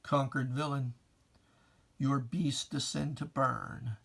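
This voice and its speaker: A reciter performs religious verse as a dramatic reading at a very unhurried pace. The delivery is solemn and declamatory, with emphatic phrasing and old-fashioned diction. The voice sits at a medium pitch. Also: steady tone, creaky, fairly bright tone, husky. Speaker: poetry reciter.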